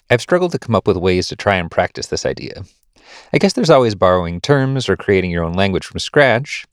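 A man's voice: lowish voice, no echo, studio quality. A man presenting a podcast, reading a scripted essay and news items aloud.

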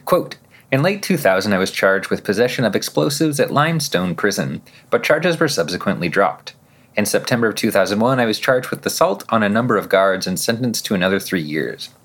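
A man presenting a podcast, reading scripted news items and quotations with emphatic, measured phrasing. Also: on the low side, very animated, fairly bright tone, breathy edge.

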